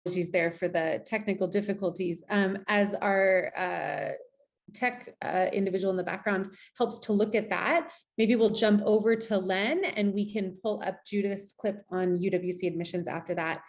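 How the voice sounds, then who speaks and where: A woman's voice: medium pitch, steady tone, normal speed. A woman hosting a webinar over a video call, upbeat and gracious as she introduces speakers. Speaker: webinar host. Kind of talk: moderating a webinar.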